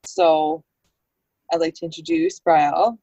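A woman's voice: deep voice, measured pace, warm tone, breathy edge, no echo, lo-fi sound. A Canadian woman speaking casually and unscripted as a guest co-host on a podcast. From Manitoba, Canada. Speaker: guest co-host, community coordinator.

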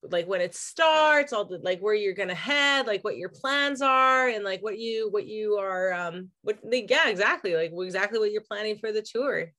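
A woman's voice: mid-range voice, lively intonation, moderate pace, few pauses, sharp tone. A young woman interviewing a guest, asking questions hesitantly and casually, with lots of "like".

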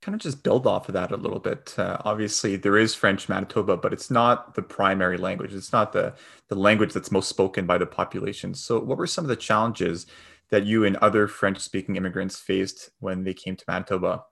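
A man interviewing a guest, asking measured follow-up questions. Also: low voice, fairly even intonation, creaky, a bit bright. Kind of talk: interview conversation.

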